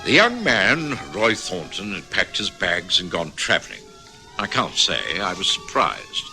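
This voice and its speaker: Gentlemanly doctor narrator, low-pitched, expressive range, courteous, measured, radio drama. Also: male voice, soft background music, heavily acted, rough recording. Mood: reflective narration.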